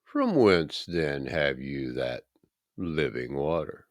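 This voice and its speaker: An earnest village woman of scripture, read by a man in his own low register. The questions come slowly and respectfully, with emphatic stress, in a dry narration. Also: very animated, no room sound, exaggerated voice. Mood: earnest, questioning.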